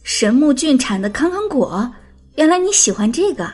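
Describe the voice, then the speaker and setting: A woman's voice: high-pitched, big pitch swings, moderate pace, darkish tone, soft background music. An actress playing a spirited, blunt but kind-hearted young woman in an audio drama, playfully scolding. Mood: coaxing. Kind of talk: audio drama character acting.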